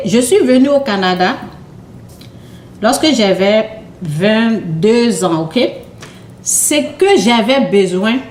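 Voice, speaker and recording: Slow, hesitant woman sharing faith testimony. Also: medium pitch, reverberant, a little hiss or hum. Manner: hesitant, repeats words, asks "ok?" often. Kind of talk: informal sermon testimony.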